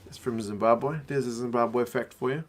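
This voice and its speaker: Relaxed Kiwi guy chatting on a stream. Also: medium pitch, normal speed. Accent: New Zealand, mixed from years abroad. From New Zealand. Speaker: rugby watch-along streamer. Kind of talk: casual live-stream chat reading viewer messages.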